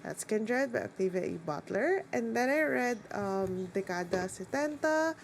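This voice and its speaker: A woman's voice: on the high side, expressive, a little slow, creaky, slight background noise. Chatty woman book vlogger. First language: Tagalog. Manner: chatty, rambling, lots of "like" and "um". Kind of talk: casual chat.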